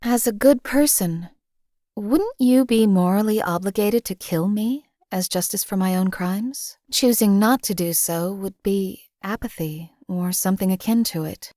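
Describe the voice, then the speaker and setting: A woman playing a deadpan cold assassin. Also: mid-range voice, unhurried, no echo, studio quality, close to an everyday voice. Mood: detached. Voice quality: calm, clipped, deadpan. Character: cold detached female assassin companion. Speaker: game companion. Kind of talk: game voice lines.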